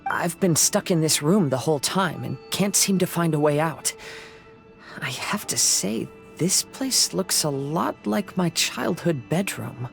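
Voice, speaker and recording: A man voicing a calm, reflective young wandering samurai in a video game, speaking in measured, philosophical phrases. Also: on the high side, gravelly, soft background music. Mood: puzzled, calm. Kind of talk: scripted video game dialogue.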